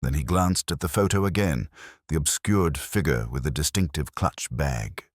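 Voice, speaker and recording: A man reading scripted mystery fiction as an audiobook narrator, with a measured, literary and dryly wry delivery. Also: extremely low pitch, animated, dry recording, clean studio sound.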